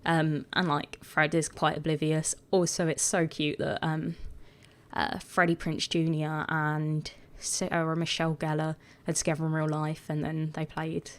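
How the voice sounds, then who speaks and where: A woman with a croaky voice, chatting casually and rambling a bit as she talks over her video. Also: sits low, little pitch movement, unhurried. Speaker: gaming video creator.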